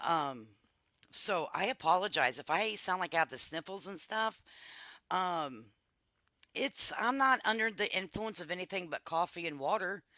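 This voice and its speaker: A woman hosting a casual livestream, congested and a bit raspy from a cold, chatting to her viewers like friends. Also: fairly low pitch, lively intonation, normal speed, bright, forceful tone, dry recording. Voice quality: raspier than usual. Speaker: livestream host. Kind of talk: casual reaction livestream chat.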